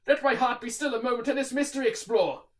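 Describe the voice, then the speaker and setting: A man playing a grieving, haunted gentleman in a gothic poem recital, speaking in a loud, fervent, declamatory way. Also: very high voice, fast, recorded from a distance, voice pushed up. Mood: anxious.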